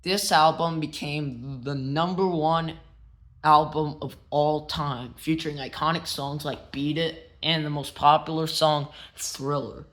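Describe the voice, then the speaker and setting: A man reads a biography aloud in a slightly high voice. He takes his time, setting out each phrase in a plain, measured storytelling way. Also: fairly even intonation, a bit bright. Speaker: narrator and show host. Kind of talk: read-aloud biography narration.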